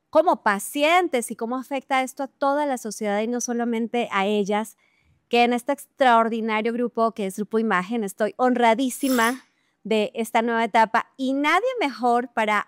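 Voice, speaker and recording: A middle-aged woman hosts a podcast with effusive enthusiasm. Her voice sits on the higher side and sounds smooth and clean. It is recorded close and dry, with no room around it. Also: no echo. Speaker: podcast host. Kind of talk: interview conversation.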